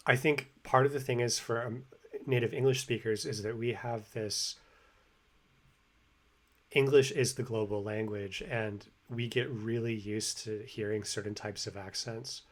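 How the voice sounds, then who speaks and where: A man's voice: lowish voice, fairly even intonation, a little slow. An American man talking casually about language learning, rambling with lots of fillers and restarts. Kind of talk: casual explanatory monologue. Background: construction hammering and sawing overhead.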